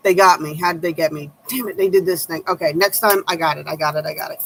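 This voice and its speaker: A woman tarot reader with a low voice, giving blunt, sharp-tongued advice in a casual chat to her viewers. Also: fairly quick, a little hiss or hum, rough recording.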